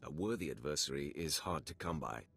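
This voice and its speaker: An actor playing an arrogant, imposing Sith warrior in a video game, speaking curtly and haughtily. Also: male voice, rumbling low, expressive, fairly quick, gravelly, a bit bright, husky, heavily acted. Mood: cool. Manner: curt, haughty. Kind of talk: scripted game dialogue.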